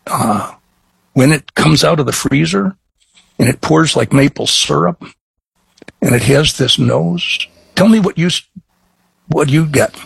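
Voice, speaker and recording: Gravelly Chicago-accented middle-aged man. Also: mid-range voice, fairly bright tone, no room sound, rough recording. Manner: halting, many restarts and fillers. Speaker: craft distiller guest. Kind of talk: casual interview chat.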